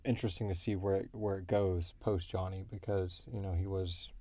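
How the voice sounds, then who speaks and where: A man co-hosting a casual question-and-answer chat, thinking aloud and explaining things in a halting way. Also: low voice, flat delivery, warm tone, a little hiss or hum. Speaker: podcast co-host and show creator answering fan questions.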